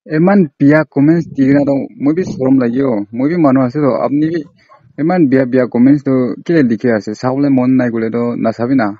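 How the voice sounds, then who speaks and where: A man's voice: medium pitch, fast talker, no echo. A man on a casual, confrontational phone call, scolding and accusing insistently. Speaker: prank caller.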